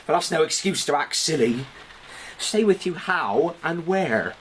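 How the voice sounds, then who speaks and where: A young servant in a radio drama. He is blunt, proud and scornful, talking in rough colloquial speech. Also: a little high, animated, measured pace, a little hiss or hum, poor audio.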